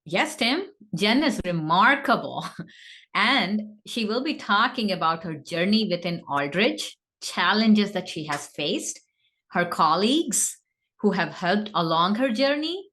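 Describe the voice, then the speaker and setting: A woman's voice: mid-range voice, sharp tone. A woman co-hosting a podcast, introducing the guest in an earnest, encouraging manner. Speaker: podcast co-host. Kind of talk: partly scripted podcast introduction.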